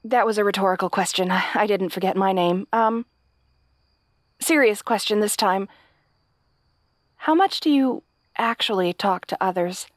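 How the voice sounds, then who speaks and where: A woman's voice: mid-range voice, normal speed, pauses often, no room sound, clean studio sound, natural voice. A woman playing a kind, hapless young princess in a fantasy audio roleplay, talking to the listener in a nervous, stammering, chatty way. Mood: flustered, chatty. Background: night sounds with crickets.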